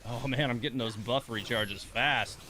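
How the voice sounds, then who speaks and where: A man's voice: mid-range voice, normal speed, sharp tone. A man livestreaming a game, thinking aloud in casual commentary and muttering complaints and coaching to himself.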